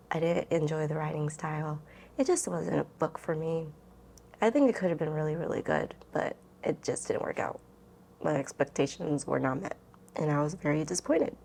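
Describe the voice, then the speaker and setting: A woman's voice: sits low, creaky, darkish tone, slight background noise. A woman book vlogger talking casually and informally, rambling and thinking aloud as she answers questions. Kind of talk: casual chatty vlog, answering tag questions.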